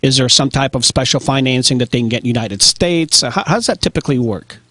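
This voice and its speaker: Polite male banker co-host, quick. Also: medium pitch, fairly bright tone, no room sound. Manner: courteous, slightly halting. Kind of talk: polite interview question.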